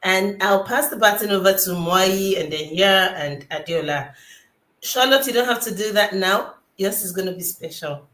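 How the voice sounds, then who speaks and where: A woman's voice: medium pitch, moderate pace, a bit bright. A woman from Nigeria hosts a live online chat over a video call. She sounds upbeat and welcoming. Her voice carries a roomy echo. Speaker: host.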